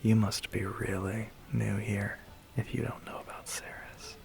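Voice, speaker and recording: A man voicing a suave, menacing vampire biker in an ASMR roleplay, slow and teasing with a breathy, gravelly half-whisper. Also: lowish voice, steady tone. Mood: cool warning. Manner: slow, teasing, quietly threatening.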